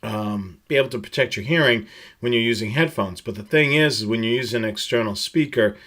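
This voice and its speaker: A man gives an explanatory product presentation in a bright, forceful voice of medium pitch. He walks through details in a steady, instructional manner, halting now and then as he goes. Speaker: presenter of a live product tutorial. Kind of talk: semi-scripted slide presentation, explanatory product rundown.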